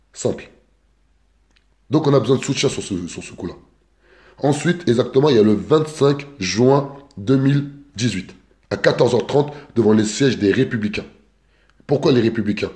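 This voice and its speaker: A man from France making an earnest, deliberate political appeal as an activist spokesperson, repeating key words for emphasis. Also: mid-range voice, dark tone. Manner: earnest, repetitive, stresses words like "exactement" and "simple"; deliberate, measured delivery. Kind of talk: political appeal, live video.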